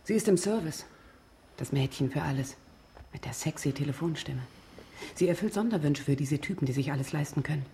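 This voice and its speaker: An actress playing a jaded, blunt service worker in a radio play, speaking in a sardonic, naturalistic way. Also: female voice, sits low, lively intonation, a little fast, mellow, a little hiss or hum. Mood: bitter, weary. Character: jaded, blunt woman working in premium customer service. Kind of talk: naturalistic radio drama acting.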